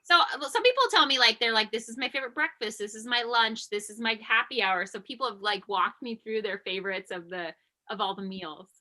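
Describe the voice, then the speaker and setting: A woman's voice: fairly high pitch, a little fast, sharp tone. A woman hosting a podcast interview, enthusiastic and encouraging as she asks her questions. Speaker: podcast host. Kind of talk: casual interview chat.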